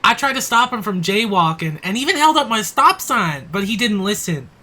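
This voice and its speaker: Actress as sensible female crossing guard, audio comedy; crisp-edged bright tone, medium pitch, sarcastic and fed up. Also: very animated, moderate pace. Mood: earnest. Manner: dry, sarcastic, incredulous. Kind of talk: comic audio play acting.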